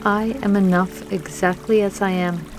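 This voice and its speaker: A woman leading a scripted guided meditation with affirmations in a gentle, soothing manner, leaving long pauses between phrases. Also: medium pitch, fairly even intonation. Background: music and trickling water.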